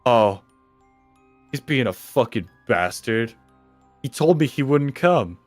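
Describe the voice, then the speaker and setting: Sullen teenage goth boy for comic fanfiction reading, pitched low, mock-dramatic, played by a woman. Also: lively intonation, takes it slowly, a little mellow, no echo, faint music behind, heavily acted.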